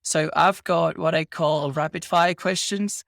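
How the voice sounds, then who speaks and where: A man's voice: a little high, steady tone, vocal fry, a bit bright, no room sound, clean studio sound. Venezuelan-born man hosting a podcast. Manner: thoughtful, measured, hedging phrasing. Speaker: podcast host. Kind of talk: casual interview conversation.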